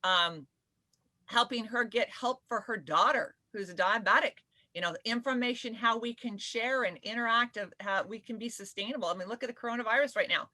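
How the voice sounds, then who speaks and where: A woman talking casually on a live panel video call, animated and quick to laugh. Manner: animated, laughs often, says she has a deep voice. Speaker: spokeswoman and panelist.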